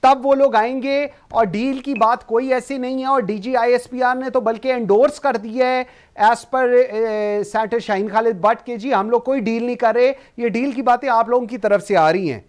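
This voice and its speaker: A woman's voice: a little high, steady tone, moderate pace, few pauses, darkish tone. A woman with a Pakistani accent presenting a political talk show and putting questions to guests.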